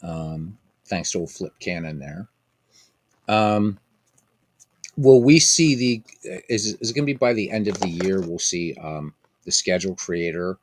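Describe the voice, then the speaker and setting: Husky-voiced man chatting on a stream. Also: low voice, lively intonation, measured pace, no echo. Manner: rambling, repeats phrases, chats with viewers by name. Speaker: streamer hosting a baseball sim game. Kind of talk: casual live-stream chat.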